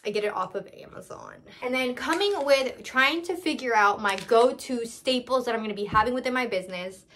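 Young female vlogger, voice a bit high, casual haul chat, candid, thinks out loud. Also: fairly quick, hardly any pauses, a little hiss or hum. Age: young adult. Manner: candid, conversational, thinking aloud. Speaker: salon owner vlogger. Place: small indoor room.

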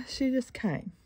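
A woman with a New Zealand accent chatting casually in a stitching vlog, rambling through a story and often clearing her croaky throat. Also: darkish tone. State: croaky morning voice. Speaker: cross-stitch vlogger.

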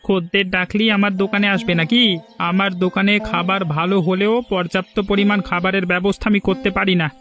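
High-voiced, humble villager. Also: male voice, flat delivery, fairly quick, a bit bright, faint music behind. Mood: aggrieved. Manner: plaintive, earnest. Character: humble, struggling village eatery owner, devoted father. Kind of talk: Bengali village cartoon dialogue.

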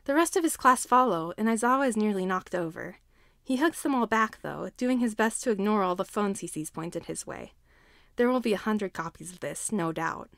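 Female story narrator, mid-pitched, dry sound. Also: lively intonation, moderate pace, vocal fry, no echo. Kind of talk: scripted story narration of fan fiction.

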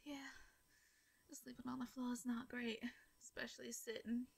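Woman doing a caring Southern farmer gal, breathy and a touch high, soft-spoken with shy stammers, audio roleplay. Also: little pitch movement, moderate pace. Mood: warm. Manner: soft, stammering, frequent light laughs.

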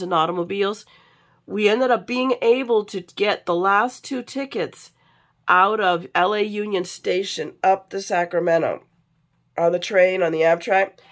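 A middle-aged American woman, sick and low on energy, chatting casually and rambling in a confiding way for a craft vlog. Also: fairly bright tone. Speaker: craft vlogger. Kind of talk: casual chat in an unboxing vlog. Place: indoors at a craft table.

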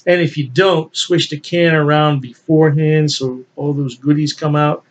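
A man's voice: a little high, fairly even intonation, moderate pace, lo-fi sound. Folksy older man reviewing beer. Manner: chatty, folksy, chuckling to himself. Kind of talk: casual solo video review. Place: home office with beer memorabilia, indoors. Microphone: webcam at desk.

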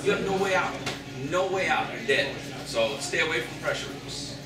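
A man's voice: a little high, expressive, normal speed. A middle-aged man, a fishing guide, giving a folksy storytelling seminar talk to an audience in a large indoor shop. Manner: storytelling, folksy, repeats words. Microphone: far camera, no mic. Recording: echoey room from far off, loud crowd.

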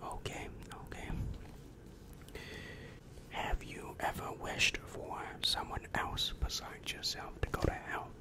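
Young man, breathy whisper, very slow measured pace, ASMR demon counselor roleplay, close microphone. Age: young adult. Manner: slow, measured, soft questioning. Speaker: ASMR performer. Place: small dim room indoors. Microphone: large microphone very close to the mouth.

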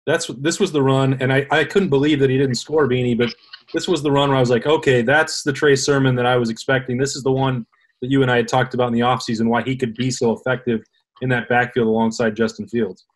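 A man in his thirties with a middle-pitched voice hosts a sports talk show over a video call. He chats easily, tees up questions and introduces the tape. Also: fairly even intonation, fast, low-quality recording. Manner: conversational, sets up questions and clips. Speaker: host, sports reporter. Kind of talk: casual sports talk interview.

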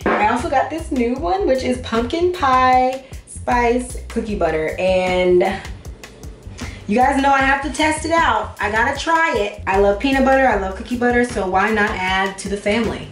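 A woman's voice: a little high, lively intonation, moderate pace. A woman in her twenties chatting informally to viewers in slangy, playfully emphatic speech, recorded on a camera a few feet away in a home kitchen. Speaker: vlogger. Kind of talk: casual chat to viewers, grocery haul. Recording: echoey room, faint music behind.

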